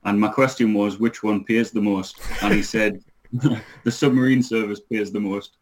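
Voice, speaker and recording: A man's voice: fairly low pitch, fairly even intonation, normal speed, a little hiss or hum. A man in his thirties from Newcastle in England, chatting as a podcast guest and hesitating often. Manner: hesitant, many ums and uhs, easygoing. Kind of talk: casual interview.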